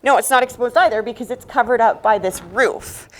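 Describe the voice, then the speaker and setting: A woman's voice: fairly high pitch, brisk. A woman teaching a math class, explaining step by step and often checking understanding with questions.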